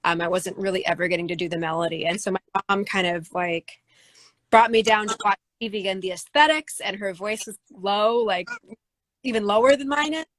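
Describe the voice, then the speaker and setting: Low-voiced woman musing, dry sound. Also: a little fast, fairly bright tone, no room sound, low-quality recording. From United States. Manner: thoughtful, many fillers and restarts. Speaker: singer-songwriter guest. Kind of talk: casual interview answers.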